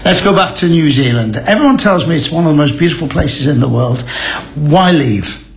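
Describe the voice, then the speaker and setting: A man's voice: on the high side, animated, moderate pace, slightly rough. An older man hosting a radio interview show, chatty and enthusiastic as he introduces and questions his guest. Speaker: radio host.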